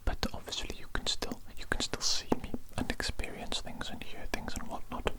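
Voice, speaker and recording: A man voicing an evil, polite cannibal doctor in a breathy whispered ASMR roleplay, softly repeating his phrases as he savors them. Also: moderate pace, lightly acted. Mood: civil, creepily reassuring.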